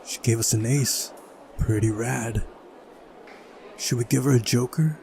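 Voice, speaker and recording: A man's voice: mid-range voice, steady tone, measured pace, creaky, darkish tone, a little hiss or hum. A man doing a visual novel playthrough, switching between reading narration aloud and casual commentary.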